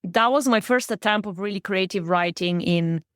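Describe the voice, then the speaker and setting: Woman, native Slovenian speaker, interview guest, thoughtful, halting restarts, mid-pitched, dry close sound. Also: no echo. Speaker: guest author and book coach. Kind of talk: podcast interview conversation.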